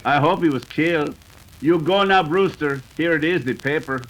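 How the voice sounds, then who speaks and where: A man's voice: fairly high pitch, normal speed, fairly bright tone, close to an everyday voice, poor audio. An actor playing a gloating Mexican outlaw henchman with a Mexican accent, in 1930s western radio style. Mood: menacing. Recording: clear background noise.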